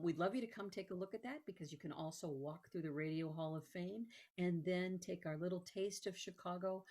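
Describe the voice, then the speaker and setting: Female presenter, slightly deep register, informal promotional talk with emphatic stresses. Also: darkish tone. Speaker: host, museum executive director.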